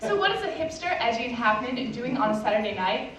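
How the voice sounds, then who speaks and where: A woman's voice: on the high side, sing-song, fast talker, fairly bright tone. Echoey, distant teenage girl reciting slam poetry. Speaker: amateur slam poet. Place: indoor live poetry slam, roomy space. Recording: very echoey room, distant mic, background noise.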